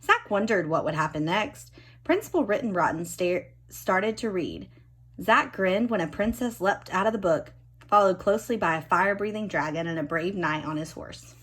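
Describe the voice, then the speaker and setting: A woman reading a children's storybook expressively, with dramatic emphasis and drawn-out key words. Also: medium pitch, animated, fairly bright tone, slight background noise. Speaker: storybook reader.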